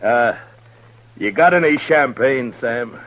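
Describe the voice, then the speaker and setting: A male actor playing a gruff but tender frontier marshal in a western radio drama, with terse, brooding delivery. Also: lively intonation, slow, muffled recording, slight background noise. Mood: brightening. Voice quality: deep-voiced. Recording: old radio drama recording with music.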